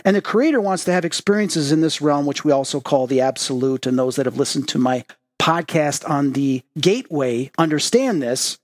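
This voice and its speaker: Guy hosting a spirit podcast, kinda high voice, dead dry sound, earnest and reflective. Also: animated, moderate pace, no echo. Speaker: podcast host. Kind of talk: casual spiritual podcast chat.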